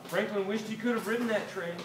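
A middle-aged American man reads a children's storybook aloud with dramatic pauses, as if to young listeners. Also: high voice, crowd noise. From Delaware, United States. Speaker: children's author reading his book aloud. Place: inside a small toy shop. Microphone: camera a few metres away. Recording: roomy, distant, noisy phone-camera recording.

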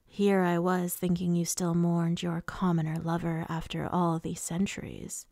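Menacing immortal male High Lord, played by a woman narrator, taunting and smooth, unhurried, dry storybook read. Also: flat delivery, darkish tone, dry recording. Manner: taunting, purring, casually cruel.

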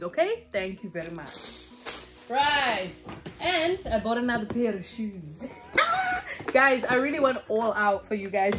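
A young woman, not a native English speaker, chatting animatedly in a home try-on haul vlog and calling her viewers guys. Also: a little high. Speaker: fashion vlogger. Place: home living room, indoors.

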